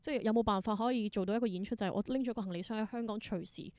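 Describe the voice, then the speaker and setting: A woman's voice: mid-range voice, very fast, a little mellow, no echo. A woman with a Hong Kong Cantonese accent in a casual interview, thinking aloud reflectively and mixing in some English words. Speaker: interview guest, theatre performer and creator.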